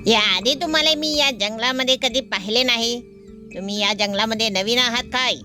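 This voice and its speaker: Wary, sensible donkey played by a woman for a children's fable; deliberate, doubting, fairly high voice over soft music. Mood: doubtful. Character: suspicious, level-headed donkey in a jungle. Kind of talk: children's animal fable dialogue.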